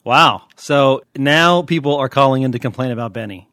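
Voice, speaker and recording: A man's voice: medium pitch, moderate pace, pushed and bright, no room sound, clean studio sound. A man hosting a podcast with casual comic banter, joking and mock-exasperated. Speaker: podcast host.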